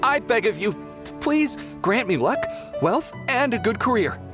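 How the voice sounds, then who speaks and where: A man voicing an excitable, entitled young pilgrim in a video game, with a dramatic delivery. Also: sits high, measured pace. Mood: awed, pleading. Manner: dramatic, pleading or grumbling. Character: excitable, entitled young male pilgrim, gullible then scornful. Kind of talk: video game character acting. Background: faint game background music.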